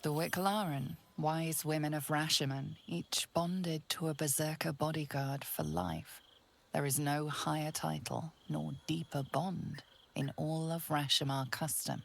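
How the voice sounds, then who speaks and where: An actor playing a solemn narrator of lore in a fantasy video game, speaking in a hushed, scripted style. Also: male voice, fairly high pitch, a little slow, gravelly.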